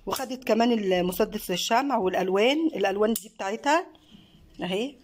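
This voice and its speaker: Egyptian mom, casual vlog host, warm and encouraging, phone-recorded at home. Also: female voice, medium pitch, quick pace. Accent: Egyptian Arabic. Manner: warm praising, encouraging, mixes in many fillers. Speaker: craft channel host and mother. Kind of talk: casual home craft vlog, talking behind the camera. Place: living room at a home table. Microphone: phone camera held by the speaker.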